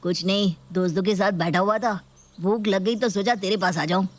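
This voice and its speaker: A woman's voice: mid-range voice, normal speed, lightly acted. A woman voicing a cocky, protective young male thug with a blunt, bragging manner in a story video. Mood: brash. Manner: blunt bragging with a repeated gun catchphrase. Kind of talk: dramatised Hindi story video.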